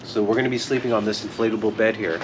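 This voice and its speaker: A man's voice: lowish voice, fairly even intonation, rapid-fire, background noise. A man talking casually to viewers as a family vlogger, in an informal, chatty way. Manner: informal, addresses viewers as guys. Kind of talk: casual vlog chat to viewers.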